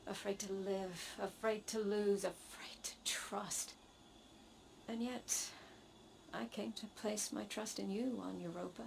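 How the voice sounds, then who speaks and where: A woman playing a weary, earnest sci-fi warrior in a video game, speaking with deliberate, reflective gravity. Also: medium pitch, fairly even intonation, gravelly, a little hiss or hum, low-quality recording. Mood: weary, hopeful. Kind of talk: scripted video game dialogue. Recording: music under the voice.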